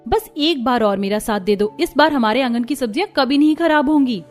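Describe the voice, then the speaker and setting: A woman's voice: high-pitched, animated, brisk, faint music behind. A woman voicing a thoughtful, hopeful youngest daughter-in-law in a cartoon, reasoning earnestly. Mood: hopeful, determined.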